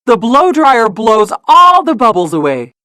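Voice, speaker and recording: A woman narrating a children's storybook in an expressive, deliberate read-aloud style. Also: on the high side, no echo.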